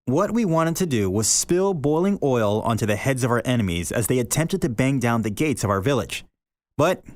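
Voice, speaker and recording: A male podcast host reading a short story aloud in an expressive, deliberate way, slowing down for emphasis. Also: medium pitch, no room sound, studio quality.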